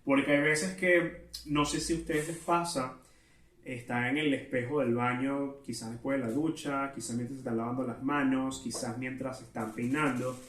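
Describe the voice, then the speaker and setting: A man's voice: mid-range voice, steady tone, moderate pace, fairly bright tone. A man with a Venezuelan Spanish accent hosting a solo podcast, chatting casually in a self-aware, digressive way. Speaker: podcast host. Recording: roomy echo, distant from mic.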